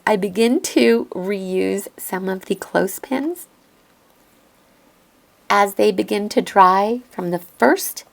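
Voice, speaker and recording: A woman narrates a crafting voice-over at an unhurried pace, stopping now and then to think aloud. Her voice is raspy and a little hoarse from a lingering cough, with a gravelly edge. She explains each step in a gentle, patient way. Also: mid-range voice. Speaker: crafting tutorial host. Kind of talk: calm instructional voiceover. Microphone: voice-over recorded apart from the video.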